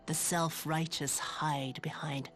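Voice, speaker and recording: Vengeful cold villainess, slow delivery, low-set voice, bitter archaic speech, video game drama, faint music. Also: female voice, fairly even intonation, fairly bright tone. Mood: vengeful, spiteful. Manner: theatrical, bitter, archaic formal phrasing. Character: spiteful vengeful villainess, cold, embracing her own monstrousness.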